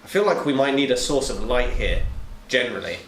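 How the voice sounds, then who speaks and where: A man's voice: mid-range voice, animated, brisk. A man playing a tabletop roleplaying game, chatting casually in a room as he talks through plans. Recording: echoey room with background noise.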